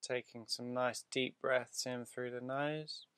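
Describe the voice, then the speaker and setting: A man leading a guided meditation in a soothing, deliberate way, leaving drawn-out pauses between short phrases. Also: mid-range voice, fairly even intonation, a bit bright, clean tone, no echo.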